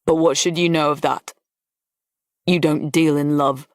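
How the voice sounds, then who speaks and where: A woman's voice: sits low, steady tone, measured pace. A woman voicing a scornful aristocratic lady who speaks in a languid, cutting, mocking way. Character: scornful, bored aristocratic lady breaking an engagement.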